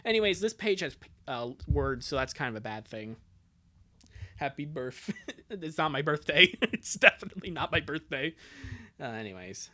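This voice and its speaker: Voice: medium pitch, very animated, normal speed, sharp tone. A streamer chatting casually between dub readings, rambling and exclaiming with giggly joking asides.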